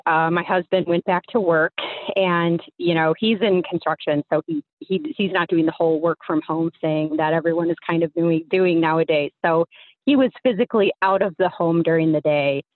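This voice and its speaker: Tinny phone-line caller: young American woman, slightly low voice, chatty, hesitant storyteller. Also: no room sound. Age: young adult. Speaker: phone-in guest. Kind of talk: casual personal storytelling. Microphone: phone call.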